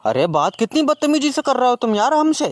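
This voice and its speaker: A man's voice: very high-pitched, very animated, fairly quick. A man with an Uttar Pradesh accent playing a stubborn, cheeky customer who argues back in a comic skit. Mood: indignant. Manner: blunt, nonchalant, bickering. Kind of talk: comic phone-call skit.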